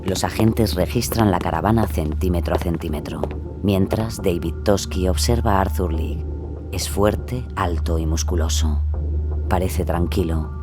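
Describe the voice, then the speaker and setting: A man's voice: deep voice, unhurried. A man reading scripted, dramatic narration for a crime audio drama. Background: music.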